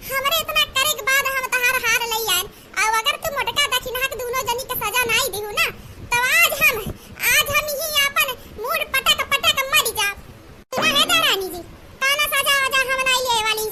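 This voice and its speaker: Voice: very high-pitched, noisy background. A performer voicing a dramatic, scheming village woman in an Awadhi comedy cartoon, theatrical and full of exclamations and complaints. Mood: indignant. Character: dramatic scheming village women of a squabbling family. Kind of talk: exaggerated comic cartoon dialogue in rural dialect.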